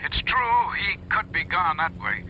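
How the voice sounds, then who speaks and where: Very sharp bright guy, skeptical, highish voice, old radio mystery, bit of noise. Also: very animated, measured pace, exaggerated voice. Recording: slightly noisy old radio recording.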